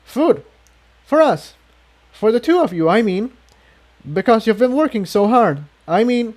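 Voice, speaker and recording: A man's voice: extremely high pitch, animated, a little slow, darkish tone, voice pushed up. A man voicing an awkward, nervous female pony who is eager to please, in a storybook reading. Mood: flustered. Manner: flustered, apologetic.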